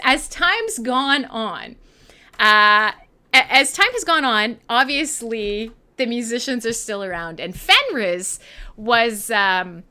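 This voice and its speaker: Bright, animated woman radio host. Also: on the high side. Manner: sassy, sweary, dramatic emphasis. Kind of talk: irreverent live music-show monologue.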